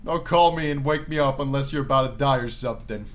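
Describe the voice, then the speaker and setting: A man's voice: mid-range voice, quick pace, slight background noise, lightly acted. A man voicing a world-weary, blunt, slangy male nightclub boss in a visual novel game. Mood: weary, warning.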